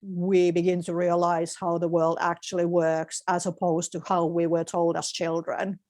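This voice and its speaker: A woman's voice: on the low side, little pitch movement, normal speed, a little mellow. Thoughtful woman. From Finland. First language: Finnish. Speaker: interviewed author. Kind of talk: reflective interview conversation.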